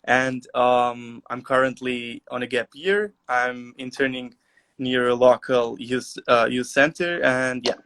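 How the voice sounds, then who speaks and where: Young man, halting answers. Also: mid-range voice, little pitch movement, a bit bright. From Albania. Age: young adult. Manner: halting, many "uh" and "um" pauses. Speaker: guest. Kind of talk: casual interview answers. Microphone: video call.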